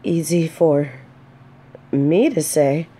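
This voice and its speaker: Slow-speaking, mellow female knight acted by a woman. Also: deep voice, slightly rough, heavily acted. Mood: shy, fond. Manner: soft, hesitant, earnest, dramatic pauses. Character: gentle, earnest female knight consoling a despairing blind companion. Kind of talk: scripted fantasy romance audio roleplay.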